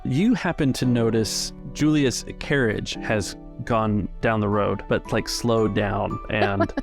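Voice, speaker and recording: A man's voice: fairly low pitch, slow, gravelly. A man narrating a tabletop game as game master. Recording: dry close recording, music behind.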